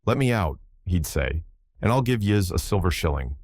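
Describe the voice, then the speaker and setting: An old Irish seaman, acted out by a male narrator in a storybook reading. He is excitable, folksy and rambling, with a low voice and a close, dry sound. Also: moderate pace, husky, no echo. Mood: storytelling. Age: older. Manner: rambling, colloquial yarn-spinning.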